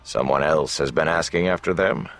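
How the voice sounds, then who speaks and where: Man voicing a smooth, low-pitched old-world gleeman. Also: a bit bright, faint music behind. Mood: guarded, probing. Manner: measured, smooth, theatrical when reciting. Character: smooth, shrewd male traveling gleeman and storyteller. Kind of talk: fantasy audiobook character dialogue.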